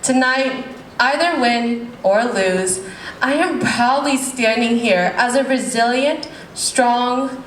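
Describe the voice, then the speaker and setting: A woman's voice: on the high side, slow. A young woman giving an earnest, heartfelt pageant speech as a contestant on stage. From United States. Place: large echoey hall. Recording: echoey hall from far off, faint hiss.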